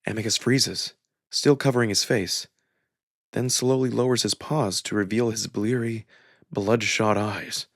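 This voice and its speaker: A man's voice: lowish voice, fairly even intonation, measured pace, no echo, clean studio sound. A man giving a dramatic first-person narration of a visual novel story. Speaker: narrator and voice performer.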